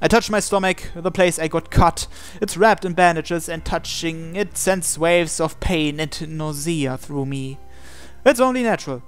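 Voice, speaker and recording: A man's voice: on the high side, measured pace, clear, resonant tone, faint music behind. A man hosting a game video, reading visual novel text aloud and adding his own asides. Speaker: gaming video host.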